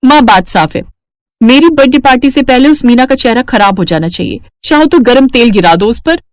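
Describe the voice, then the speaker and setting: Woman putting on bratty jealous teen stepsister, higher pitched, whiny n haughty, cartoon story. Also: lively intonation, a little fast, no echo, lightly acted. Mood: whiny, spiteful. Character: spoiled, jealous, cruel teenage stepsister. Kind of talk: Hindi cartoon story character acting.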